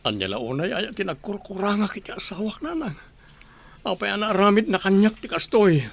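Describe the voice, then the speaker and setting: A man's voice: high-pitched, animated, fairly quick, vocal fry, exaggerated voice. A man playing a heartbroken, abandoned husband and father in an Ilocano radio drama, lamenting his hurt. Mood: anguished. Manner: anguished, lamenting.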